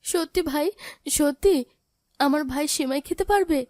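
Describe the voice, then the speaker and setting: A woman plays an orphaned elder sister in a moral cartoon. Her voice is high, soft-hearted and sorrowful, with a pleading way of talking. The sound is very dry. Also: normal speed, no echo, lightly acted. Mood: grateful. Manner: plaintive, pleading. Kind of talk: dramatic cartoon voice acting.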